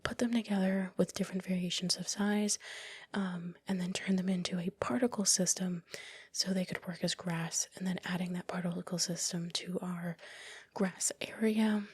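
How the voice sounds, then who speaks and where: A woman whispers a casual, rambling, self-critical ASMR commentary on her own work in a breathy, unvoiced voice, close to the microphone. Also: fairly low pitch, a little mellow, dry recording. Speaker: ASMR video creator narrating own work. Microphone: close microphone, dry voice-over.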